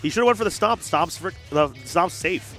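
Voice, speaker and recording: Young guy hyped up calling fighting game matches, kinda high voice, cracking jokes. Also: brisk, faint music behind. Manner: excitable, jokey, gets loud when hyped. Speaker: esports commentator. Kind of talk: live fighting game commentary. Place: large hangar hall at a gaming event.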